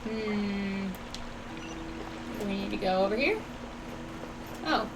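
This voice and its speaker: Woman streamer over clear background noise. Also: mid-range voice, takes it slowly. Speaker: game streamer. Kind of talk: casual live stream commentary.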